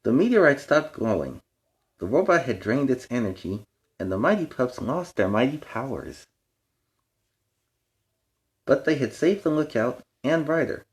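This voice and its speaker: Animated man reading to children. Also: mid-range voice, measured pace, pauses often. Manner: explains words and lessons to young listeners; playful, in a superhero persona. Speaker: children's story time host. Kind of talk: animated children's storybook read-aloud with chatty asides.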